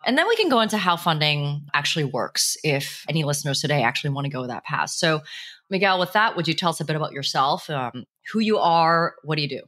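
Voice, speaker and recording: A woman hosting a podcast, chatty and quick to laugh. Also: low-pitched, expressive, pushed and bright. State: jet lagged. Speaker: podcast host. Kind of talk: casual chat.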